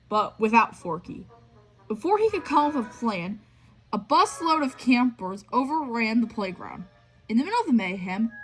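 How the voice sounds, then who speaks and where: A woman's voice: sits high, fairly bright tone, low-quality recording. A woman reading a children's story aloud, now and then tripping over a phrase and reading it again. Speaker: storybook reader. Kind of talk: scripted read-aloud narration of a children's story. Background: music.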